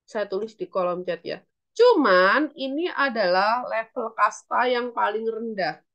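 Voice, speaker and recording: Female Indonesian lecturer, higher-pitched, instructive delivery for an online language class with example phrases. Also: expressive, measured pace, a bit bright, lo-fi sound. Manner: instructive, repeats and translates phrases. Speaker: English lecturer.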